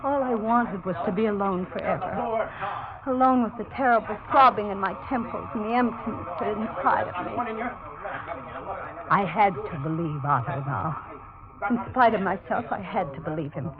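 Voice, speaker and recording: A woman's voice: mid-range voice, moderate pace, a crowd in the background, close to an everyday voice. An actress playing a terrified, distraught young woman narrating a murder mystery in dramatic 1940s radio style. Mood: weary, numb. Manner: breathless, dramatic, anguished. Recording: dull, noisy 1940s radio recording.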